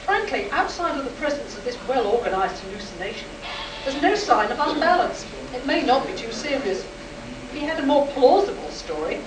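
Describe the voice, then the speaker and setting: A woman in a live radio play acting a calm, clinical doctor with a measured, soothing manner. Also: high-pitched. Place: convention hall stage, live performance. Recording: echoey distant live hall, noisy.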